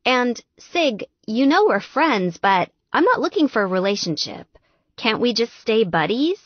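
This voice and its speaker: Geeky young woman, acted by a female narrator, kind, exasperated, brisk manner, very varied intonation, audiobook dialogue. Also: a little high, very animated, dry recording, lo-fi sound, voice pushed up. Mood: placating.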